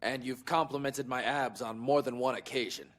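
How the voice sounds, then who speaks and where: A man's voice: medium pitch, moderate pace, a bit bright, natural voice. A man playing a vain, smug young wrestler in a video game, boasting and trash-talking.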